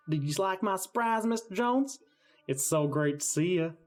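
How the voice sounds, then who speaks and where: A man's voice: high voice, lively intonation, takes it slowly, soft background music. A man playing a mocking, gloating killer out for revenge in an amateur audio drama. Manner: gloating, mocking, chuckling delivery. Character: taunting, vengeful male killer avenging his brother.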